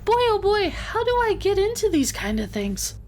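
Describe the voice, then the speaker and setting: A plucky little gnome adventurer, with a very high, tiny voice that swings up and down. The delivery is emphatic and theatrical, made for a fantasy audio drama. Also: female voice, very animated, normal speed, darkish tone. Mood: excitable, fussy. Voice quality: tiny, small voice.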